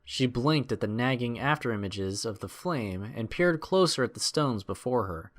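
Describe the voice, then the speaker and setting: A man's voice: medium pitch, lively intonation, moderate pace. A man narrating a scripted adventure story in a dramatic storytelling style.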